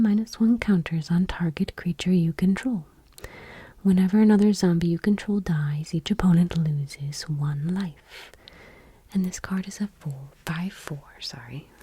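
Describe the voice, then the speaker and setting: A woman doing gentle ASMR, reading aloud in a whispery, breathy, deliberate voice close to the microphone. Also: lowish voice, no room sound. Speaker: ASMR video creator. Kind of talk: soft-spoken ASMR, reading trading cards aloud. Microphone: close microphone, dry.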